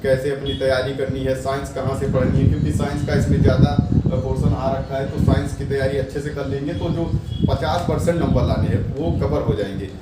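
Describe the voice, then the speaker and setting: A man's voice: medium pitch, steady tone, a little fast. A man explaining exam application numbers and competition to students, repeating figures and reassuring them. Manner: reads out numbers digit by digit, reassuring and repetitive. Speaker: exam coaching YouTuber. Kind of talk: explaining exam form statistics. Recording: echoey distant mic with loud noise.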